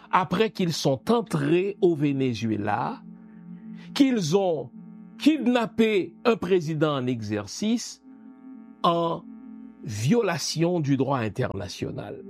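A man's voice: sits high, sing-song, slow, gravelly, darkish tone, soft background music. A man from Haiti giving an emphatic political commentary, speaking straight to his listeners and asking them rhetorical questions. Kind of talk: spoken commentary.

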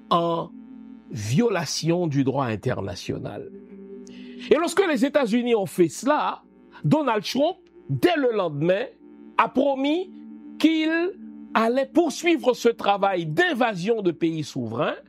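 A man's voice: sits high, gravelly. A man from Haiti giving geopolitical commentary in a didactic, emphatic, rhetorical manner. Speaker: political commentator.

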